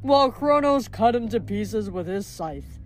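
A woman's voice: medium pitch, animated, measured pace, soft background music, voice pushed up, lightly acted. A woman voicing a jovial but menacing male Titan giant, boastful and theatrical, in a storybook reading. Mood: nostalgic, boastful. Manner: theatrical, grandiose, hearty.

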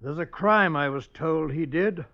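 A man's voice: fairly high pitch, measured pace, gravelly. A man playing a dogged, inquisitive Georgian English policeman in a 1950s radio drama, with folksy, old-fashioned phrasing. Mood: probing. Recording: muffled old radio broadcast.

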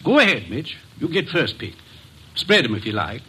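A cool scheming partner type, lively pitch, bright, talks you into stuff. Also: male voice, normal speed, slight background noise. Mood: persuasive. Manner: calm, persuasive, matter-of-fact. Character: scheming male business partner, cool and persuasive. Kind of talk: 1940s radio acting.

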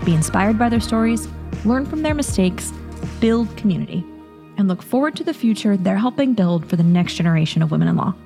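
Woman podcast host, polished and upbeat, soft music under her. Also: medium pitch, expressive, a little fast, vocal fry. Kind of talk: scripted intro then interview questions.

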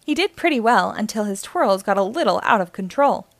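A woman's voice: mid-range voice, expressive, brisk, no echo. A woman reading a children's story aloud as scripted narration.

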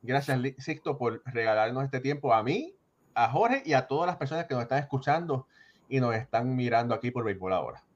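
A man's voice: medium pitch, big pitch swings, fairly quick, highs cut off. A man hosting a casual sports interview, effusive and often restarting his sentences. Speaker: podcast host.

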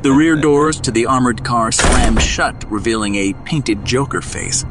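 A man's voice: medium pitch, unhurried. A man narrating a scripted audio drama in a dramatic, descriptive storytelling manner. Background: music.

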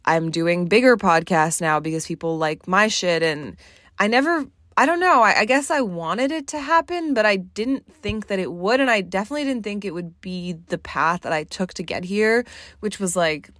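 A woman's voice: medium pitch, lively intonation, normal speed, fairly bright tone, dry recording, studio quality. A woman in her late twenties hosting her own podcast, talking alone in a candid, blunt way, swearing freely and saying "like" often. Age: late 20s. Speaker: podcast host. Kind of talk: solo podcast monologue.

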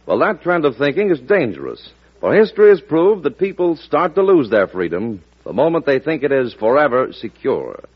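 A man's voice: medium pitch, big pitch swings, moderate pace, hardly any pauses, breathy edge. A man with an American accent reading a scripted vintage radio public-service announcement in an earnest, declamatory way. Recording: dull old radio recording.

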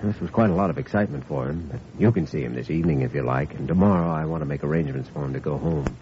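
An actor playing an earnest, caring young doctor in an old-time radio drama, speaking in a measured, thoughtful way. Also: male voice, a bass voice, very animated, gravelly, full character voice. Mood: gentle, resolute. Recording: muffled, hissy vintage radio recording.

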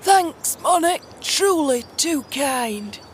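A woman's voice: high-pitched, animated, extremely slow, a little hiss or hum, exaggerated voice. A woman playing a sweet, humble groundskeeper in a comedic fantasy audio drama, chirpy and mild. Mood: eager, humble. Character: sweet, humble female groundskeeper made heir.

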